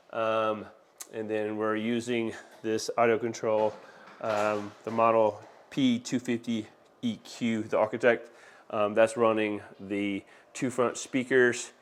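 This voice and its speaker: Smooth-voiced man giving an informal install walkthrough. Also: medium pitch. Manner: informal, enthusiastic salesman-like patter. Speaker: audio-video installer presenting his work. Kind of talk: casual video walkthrough. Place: inside a large open living room. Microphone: handheld phone camera.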